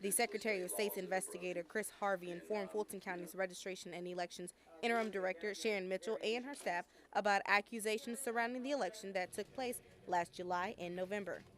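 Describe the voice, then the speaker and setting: A woman's voice: mid-range voice, steady tone, quick pace, few pauses. A woman with an American accent reads scripted narration as a television news reporter.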